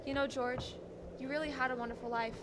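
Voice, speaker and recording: A young woman playing an earnest, gently moralizing guardian angel in a radio play, with noise on the recording. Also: high-pitched, fairly even intonation, fairly quick, close to an everyday voice. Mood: solemn. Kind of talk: filmed radio play acting, high school production. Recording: clearly noisy stage recording.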